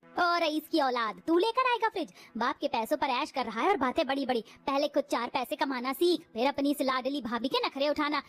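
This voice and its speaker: A woman's voice: high voice, animated, brisk, a bit bright. A woman voicing a cruel, domineering mother-in-law in a cartoon who scolds and taunts. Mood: taunting.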